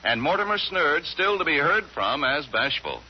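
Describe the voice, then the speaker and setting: A man announcing the acts of a 1940s radio play in a crisp, formal way. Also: fairly high pitch, very animated, normal speed. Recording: dull old radio with music.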